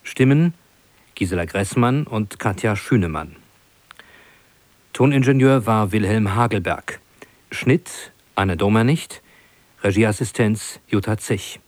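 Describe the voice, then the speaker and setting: Male radio announcer, lowish voice, close dry studio sound, measured formal delivery of scripted introductions. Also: dry recording.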